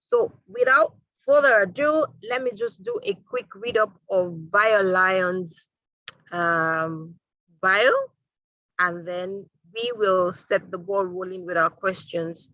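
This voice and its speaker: A woman hosting a live online interview, upbeat and lively, with fillers. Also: medium pitch, takes it slowly, dry recording, highs cut off. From Nigeria. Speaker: host.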